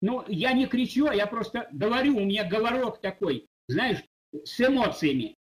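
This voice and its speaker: A man's voice: high voice, lively intonation, moderate pace. A man in his seventies, a game player, grumbling, cursing and lecturing younger players in a heated voice chat. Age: 70s.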